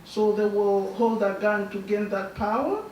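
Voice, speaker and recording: A young woman from Burundi whose first language is Swahili, speaking as a guest and answering audience questions in a soft, halting way, often laughing. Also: mid-range voice, dull audio, slight background noise. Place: school hall. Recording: echoey hall, distant mic.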